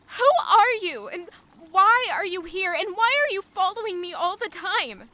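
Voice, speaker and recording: An actress playing a young maid who is defensive and in love, thinking aloud with strong emotion in an audio drama. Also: female voice, very high voice, expressive, measured pace, bright, forceful tone, heavily acted. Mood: confrontational.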